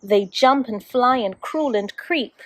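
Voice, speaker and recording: A woman's voice: a little high, slow, fairly bright tone. A woman, a teacher, reading a children's storybook aloud expressively to young children.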